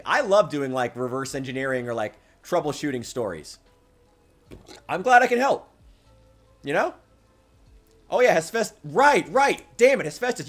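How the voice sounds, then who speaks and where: A young man live-streaming as he plays, chatting with viewers in a lively, profane way, sometimes hyped and sometimes muttering, his voice getting tired. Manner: animated, profane, swings between loud hype and low mutters. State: losing his voice. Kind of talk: casual live-stream chat while gaming.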